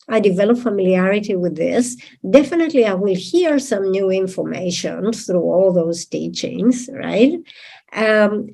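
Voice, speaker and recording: A woman whose first language is not English, interpreting a Buddhist teaching in a deliberate manner and checking in with listeners. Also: mid-range voice, warm tone. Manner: deliberate, with tag questions to listeners.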